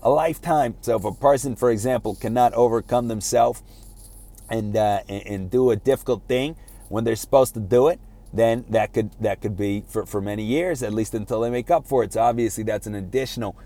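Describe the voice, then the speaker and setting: A man giving an informal motivational lecture in a halting, conversational way, often repeating words and posing rhetorical questions. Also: mid-range voice, mellow. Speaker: speaker giving a self-improvement talk.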